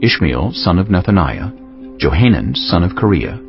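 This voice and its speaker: Very deep, dramatic male scripture narrator. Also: very animated. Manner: solemn, deliberate, dramatic phrasing. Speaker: audiobook narrator. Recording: dull lo-fi audio, music bed. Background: prominent background music.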